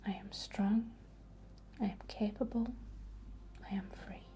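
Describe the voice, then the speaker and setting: A woman speaking in a soft, breathy whisper, gently guiding a soothing, unhurried ASMR healing ritual. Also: mid-range voice, steady tone. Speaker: ASMR host. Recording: faint background noise.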